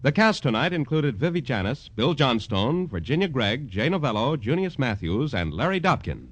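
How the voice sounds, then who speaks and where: A man's voice: mid-range voice, big pitch swings, normal speed. A man working as a network radio announcer, reading credits and promotions in polished 1950s style on an old broadcast recording. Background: loud orchestral music.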